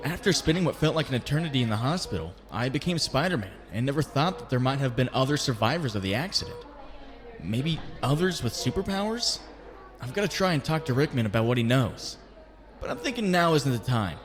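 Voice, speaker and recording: A man's voice: mid-range voice, big-room sound, close to an everyday voice. A man playing an earnest, troubled young superhero in a comic dub, brooding aloud. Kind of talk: comic book dub acting.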